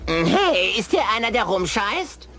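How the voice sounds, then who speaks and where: Gruff cartoon barkeep, middle-aged, blustering and threatening, big pitch swings, music underneath. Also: male voice, very animated, a little slow. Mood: calling out, puzzled. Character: grumpy, short-tempered middle-aged male bartender. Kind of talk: German-dubbed cartoon acting.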